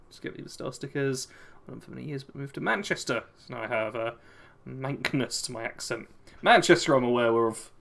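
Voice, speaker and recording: British male streamer, bright tone, casual chat-reading, easy chuckles. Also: medium pitch, normal speed, creaky. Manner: chatty, chuckles often, answers chat. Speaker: game streamer.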